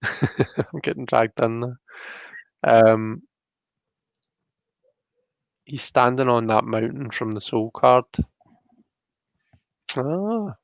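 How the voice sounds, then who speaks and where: A man's voice: mid-range voice, very slow, creaky, long pauses, dry recording, dull audio. A Scottish man hosting a casual live tarot reading, chatty and hesitant, often chuckling as he reads out viewer comments.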